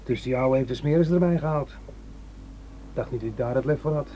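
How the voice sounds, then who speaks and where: A man's voice: medium pitch, brisk, warm tone, highs cut off, soft background music, natural voice. An actor playing a surly, defiant young man in a radio drama, curt and sneering. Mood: wary, sullen.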